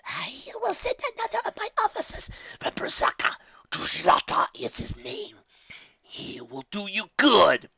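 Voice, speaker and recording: Sinister dark lord, breathy hissing whisper, slow, condescending, fantasy storybook villain, dull recording. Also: male voice, high voice, huge pitch swings, voice pushed up, heavily acted. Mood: quiet menace. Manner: slow, drawn-out, imperious.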